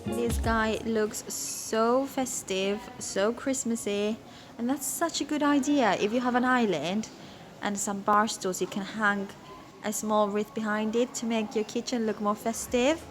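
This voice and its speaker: A woman's voice: a little high, measured pace, over music. A young British woman vlogging casually while shopping, tired after work, giggly and talking straight to her viewers. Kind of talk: casual vlog chat. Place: large furniture store, indoors.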